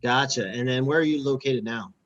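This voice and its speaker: A man hosting a podcast, talking in an energetic, hype-style way as he promotes and interviews. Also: medium pitch, fairly quick, dull audio. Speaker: podcast host.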